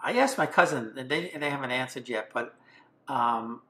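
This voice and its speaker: A man in his seventies hosting an interview, asking questions in a relaxed, chatty way, with plenty of fillers and restarts. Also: mid-range voice, moderate pace, reverberant. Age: 70s. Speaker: podcast host, interviewer. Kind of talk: casual interview.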